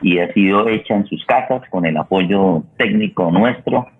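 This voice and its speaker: Very deep, husky Colombian man, phone-like sound. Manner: halting, searching for words, repeating phrases. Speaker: radio theatre programme host. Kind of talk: unscripted sign-off and announcements. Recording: muffled, phone-like radio connection.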